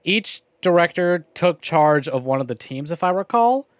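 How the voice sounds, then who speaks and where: Animated, higher-pitched man chatting on stream. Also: moderate pace, smooth, dry recording. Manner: conversational, addresses viewers, swears casually. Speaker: livestream host. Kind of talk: casual game stream chat and commentary.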